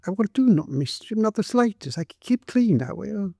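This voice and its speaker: Man putting on a shrill frightened young servant girl. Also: very animated, moderate pace, vocal fry, warm tone, breathy edge, no room sound, studio quality, full character voice. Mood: frightened pleading. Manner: timid, stammering, pleading.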